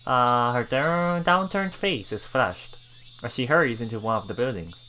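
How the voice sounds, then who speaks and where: A woman doing a visual novel let's play, reading the text aloud and adding playful, expressive casual commentary. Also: very deep voice, normal speed, background noise.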